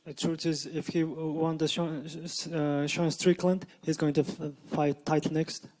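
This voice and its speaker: A man's voice: on the high side, little pitch movement, unhurried, a little mellow. A man asking interview questions in non-native English, hesitant and full of "uh" fillers.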